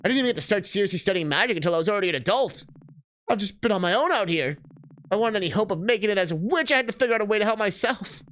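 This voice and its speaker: A man's voice: high-pitched, expressive, rapid-fire, fairly bright tone. Man playing a defensive, bitter young witch. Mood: indignant. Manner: indignant, wounded.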